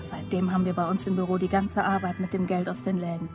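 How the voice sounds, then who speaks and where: A woman's voice: lowish voice, little pitch movement, fast talker, over music, natural voice. An actress playing a guarded, teasing young office woman in a German crime radio play. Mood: matter-of-fact, coy.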